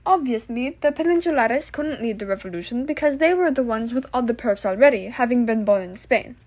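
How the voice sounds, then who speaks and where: A woman's voice: a little high, expressive, brisk, darkish tone. A woman playing a proud, self-satisfied male revolutionary leader being interviewed in a comedic puppet show. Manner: boastful, matter-of-fact.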